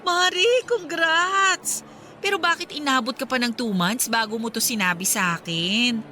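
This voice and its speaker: An actress playing a candid, supportive young wife who longs for a child, in an expressive Filipino radio drama. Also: female voice, high-pitched, measured pace, a little hiss or hum. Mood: excited.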